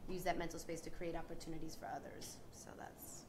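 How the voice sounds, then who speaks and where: A woman's voice: sits low, little pitch movement, brisk. A young adult woman, an actress on a panel, talking earnestly and reflectively with frequent restarts. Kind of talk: panel discussion. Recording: audible background noise.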